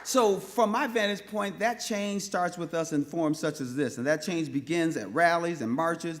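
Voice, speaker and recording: A man from North Minneapolis giving an impassioned speech at a public forum, often restarting his words. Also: on the high side. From North Minneapolis, USA. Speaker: panel speaker. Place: forum hall with live audience.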